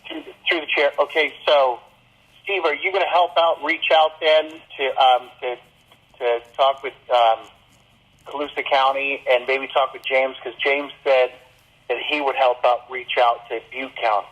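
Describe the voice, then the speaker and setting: A man's voice: mid-range voice, flat delivery, measured pace, fairly bright tone. A man speaking informally as a board member at a public meeting. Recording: dull lo-fi teleconference audio.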